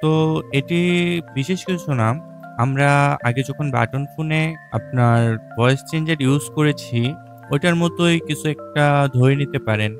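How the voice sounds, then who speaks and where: A man's voice: fairly high pitch, moderate pace, long pauses. A man casually narrating a product demo as a tech reviewer. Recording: clear background music bed.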